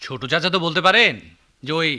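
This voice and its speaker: A man's voice: on the high side, expressive, quick pace, pushed and bright. A man playing a proud, argumentative young student son in a radio drama, insistent and challenging, with a Bangladeshi accent. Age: young adult.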